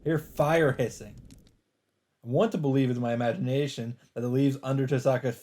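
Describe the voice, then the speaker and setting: A man's voice: medium pitch, steady tone, a little fast, a little mellow. A man reading a visual novel's narration aloud as a let's player. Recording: music playing behind the voice. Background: game background music.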